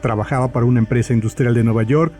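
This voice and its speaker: Male narrator, mid-pitched, scripted horror storytelling, background music. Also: steady tone, rapid-fire, dark tone. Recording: music under the voice.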